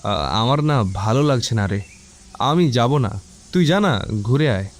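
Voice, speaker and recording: A withdrawn young man played by a male actor with a mid-pitched voice, murmuring and hesitating as if hiding his worries. The delivery is that of a mystery audio play, subdued and evasive. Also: animated, normal speed, close to an everyday voice. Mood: reluctant. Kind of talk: Bengali mystery audio drama acting.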